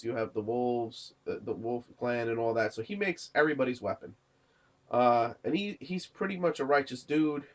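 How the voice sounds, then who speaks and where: A young man under the weather talks through a movie review, coughing and clearing his throat as he goes. His voice sits at an ordinary pitch, and he rambles loosely with plenty of uh's and restarts. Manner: rambling, many fillers and restarts. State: sick, coughing. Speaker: movie reviewer, channel host. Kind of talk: casual spoken movie review.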